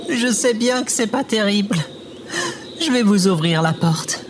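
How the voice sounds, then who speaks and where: Humble riddle-keeper for audio adventure, male actor: high register, formal, self-deprecating, noisy background. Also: animated, a little slow. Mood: dejected. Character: humble, dejected male riddle-keeping door guardian. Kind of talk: French audio adventure drama.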